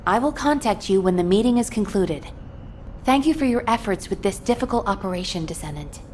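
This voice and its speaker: A woman's voice: medium pitch, animated, a little fast, a little mellow, slight background noise, close to an everyday voice. Female game officer, played by an actress: mid-range voice, professional and official, debriefing over faint background ambience. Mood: resolute, official. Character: businesslike female sci-fi headquarters officer, reassuring but official. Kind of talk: scripted game dialogue, mission debrief.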